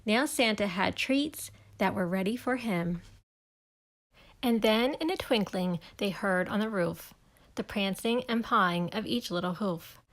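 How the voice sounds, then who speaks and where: A woman reading a rhyming children's story aloud in an expressive, unhurried, measured way. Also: medium pitch. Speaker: storybook reader.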